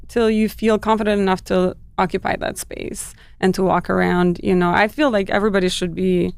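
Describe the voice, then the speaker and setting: A woman's voice: mid-range voice, gravelly, clear, resonant tone, no room sound. A woman from Albania, a fitness trainer, talking casually in an interview with frequent laughs and restarts. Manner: chatty, warm laughs, many fillers and restarts.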